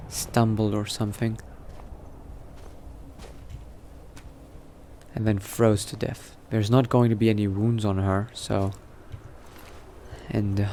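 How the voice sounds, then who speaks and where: A man's voice: lowish voice, warm tone. A man voicing a cautious, coldly pragmatic new vampire in a roleplay, muttering to himself and often dropping into a whisper. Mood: grim, calculating. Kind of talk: in-character roleplay narration, thinking aloud. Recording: noticeable background noise.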